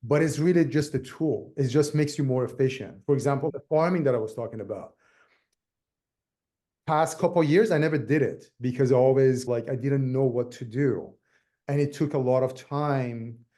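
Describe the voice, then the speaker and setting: A man's voice: mid-range voice, normal speed, warm tone. A man from Iran with a Persian accent, a native Farsi speaker, talking casually as a guest in an interview, in loose run-on sentences full of restarts. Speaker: guest realtor.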